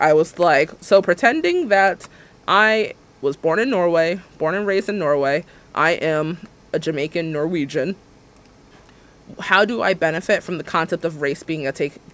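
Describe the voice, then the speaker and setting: Brief: ranting young male streamer, higher voice. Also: normal speed. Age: 20s. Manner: ranting, animated, sarcastic, mimics others' words. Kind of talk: casual livestream chat while building in a game.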